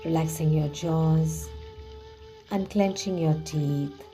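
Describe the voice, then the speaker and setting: Very slow, soft dark-voiced woman guiding relaxation. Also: low-pitched, fairly even intonation. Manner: soothing, hushed, gently drawn-out phrasing. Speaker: guided meditation host. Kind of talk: guided relaxation visualization. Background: background music.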